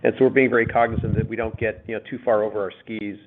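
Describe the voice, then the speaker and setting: A man speaking as a company executive, giving unscripted, halting business answers with repeated words on a conference call. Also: lowish voice, level tone, slight background noise. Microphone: conference call line. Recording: phone-like narrow-band conference call audio.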